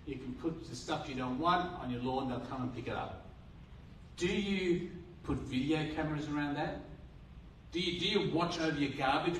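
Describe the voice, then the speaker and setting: Australian pastor preaching in an echoey hall. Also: male voice, on the high side, a little hiss or hum. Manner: earnest, repetitive restarts, rhetorical questions. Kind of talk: sermon. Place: church hall. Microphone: handheld mic. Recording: roomy hall from a distance.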